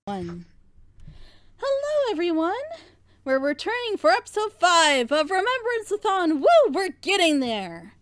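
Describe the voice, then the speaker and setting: Excitable, giggly woman hosting a group chat. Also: very high voice, unhurried. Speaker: host, co-director of a fan audio drama group. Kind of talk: casual group chat introducing a reaction.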